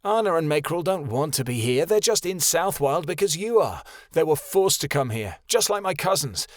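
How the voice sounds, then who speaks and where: A man voicing a grieving teenage son who shouts bitterly at his father. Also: fairly high pitch, sing-song, normal speed, dry recording, clean studio sound, voice pushed up. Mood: furious.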